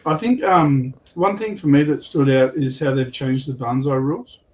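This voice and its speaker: A man's voice: medium pitch, moderate pace, darkish tone. A man explaining game rules as a panelist in a casual hobby chat.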